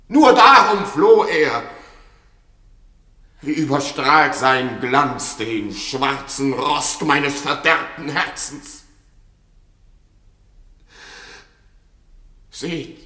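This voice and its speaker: An actor playing a proud, guilt-ridden king in a classical radio play, declaiming with weighty pauses. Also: fairly high pitch, bright, forceful tone, full character voice. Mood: anguished confession. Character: guilt-stricken, proud king, tyrannical then remorseful. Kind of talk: classical German radio play stage acting. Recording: echoey hall with long reverb.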